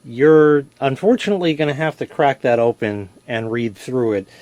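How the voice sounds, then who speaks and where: A man's voice: mid-range voice, moderate pace, darkish tone, no room sound. A man presenting a casual product demonstration, explaining things step by step in a plain-spoken, conversational way.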